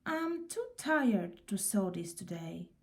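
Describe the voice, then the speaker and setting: A woman voicing a humble, easily amazed shoemaker from a children's storybook, in a wondering, expressive manner. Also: mid-range voice, slow. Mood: tired.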